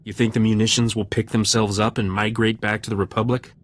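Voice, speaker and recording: An actor playing a cocky, wisecracking smuggler captain in a video game. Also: male voice, on the low side, flat delivery, brisk, a little hiss or hum, close to an everyday voice. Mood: dry, wry.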